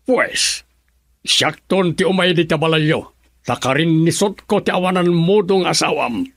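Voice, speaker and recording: A man's voice: fairly high pitch, unhurried, creaky, fairly bright tone, heavily acted. A man with an Ilocano accent playing a stern, wrathful older father in a radio drama. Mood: angry, stern. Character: stern, wrathful father resentful of his son-in-law.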